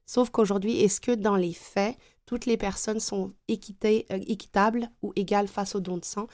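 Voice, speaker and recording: A woman from France, explaining her view as a panel guest with many pauses and fillers. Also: mid-range voice, steady tone, darkish tone. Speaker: panel guest, consultant and trainer. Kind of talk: round-table discussion.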